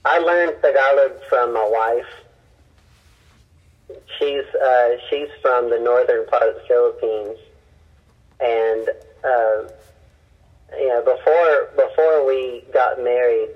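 A man with a husky, breathy voice in a middle register speaks as a missionary guest, recounting his experiences earnestly and often restarting his sentences. The sound is dull and lo-fi. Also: mid-range voice. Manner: earnest, halting with many restarts. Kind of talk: personal storytelling in an interview.

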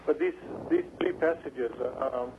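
A man, a guest in a radio interview, talking in halting phrases with many fillers and restarts. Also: medium pitch. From Pashtun area of Pakistan. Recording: dull radio broadcast with faint hum.

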